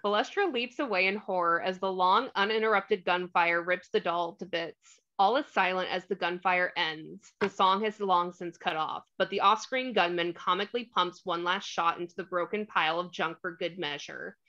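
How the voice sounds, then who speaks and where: A woman's voice: on the low side, moderate pace, pushed and bright. A woman reading scripted stage directions as narrator of a screenplay table read, on a slightly dull microphone.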